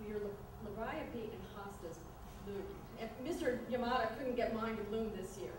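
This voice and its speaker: Woman acting haughty critical mother, higher pitch, unhurried, dry delivery, stage reading, noisy room. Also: close to an everyday voice. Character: snooty, critical mother of a grown son. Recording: echoey room, distant mic, noisy.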